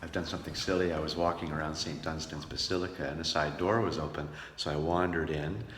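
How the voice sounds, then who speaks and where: A man's voice: very deep voice, fairly even intonation, fast, a bit bright, husky. A man narrating his own casual travel vlog as its host. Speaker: vlog host, touring performer.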